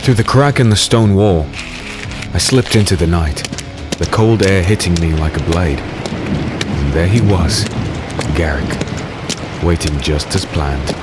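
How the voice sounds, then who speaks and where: An actor playing a grim, haunted, secretive warrior in a dark fantasy audio drama, speaking tersely and dramatically. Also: male voice, sits low, a little slow, pauses often, loud music behind the voice. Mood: brooding. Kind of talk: dark fantasy audio drama, first-person narration and dialogue.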